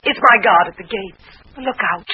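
A woman's voice: on the high side, moderate pace, a crowd in the background, lightly acted. An actress playing a romantic, fearful woman caught in intrigue, urgent and dramatic in old radio style. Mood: alarmed. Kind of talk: old radio melodrama acting. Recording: dull old radio recording.

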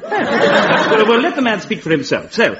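Casting: polite upper-class English officer, dim and easily impressed, high swooping delivery, dull sitcom sound. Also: male voice, huge pitch swings, unhurried, over a noisy crowd, heavily acted. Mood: puzzled. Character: gullible, polite, dim English army captain. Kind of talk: period sitcom acting. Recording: dull sound with clear audience noise.

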